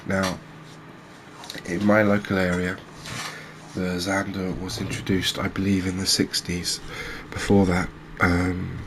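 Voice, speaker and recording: Deep, slow-talking ASMR man. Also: monotone, background noise. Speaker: host of a video game channel. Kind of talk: soft-spoken ASMR gameplay commentary.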